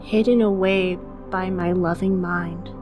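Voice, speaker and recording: A woman playing a somber, cryptic poetry reciter in a radio drama, with a measured, solemn delivery. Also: fairly low pitch, fairly even intonation, music in the background, pitched below the performer's own voice, lightly acted.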